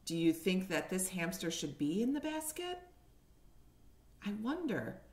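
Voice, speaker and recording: Woman reading a storybook to kindergartners, takes it real slow, expressive teacher delivery, bit echoey room on a webcam. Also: fairly low pitch. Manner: expressive storytelling with questions to young listeners. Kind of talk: picture-book read-aloud for young children. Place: living room at home, indoors. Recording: roomy echo on a home webcam.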